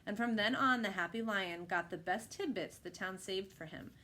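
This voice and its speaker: A woman reading a children's picture book aloud with expressive narration. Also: medium pitch, moderate pace.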